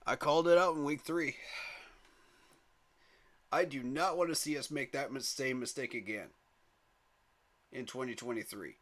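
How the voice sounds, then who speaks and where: Guy on a fan livestream talking right to chat, pushy and begging, mid-pitched voice. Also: normal speed, pauses often, fairly bright tone. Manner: pleading, emphatic, chuckles at rivals. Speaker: sports fan streamer. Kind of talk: live stream commentary.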